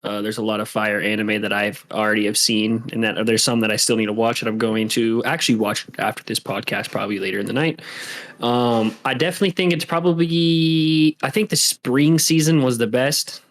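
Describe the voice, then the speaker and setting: A man's voice: lowish voice, steady tone, fairly quick, fairly bright tone. Laid-back man, lots of fillers. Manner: laid-back, filler-heavy, says "like" and "you know". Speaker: podcast co-host. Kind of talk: casual podcast chat.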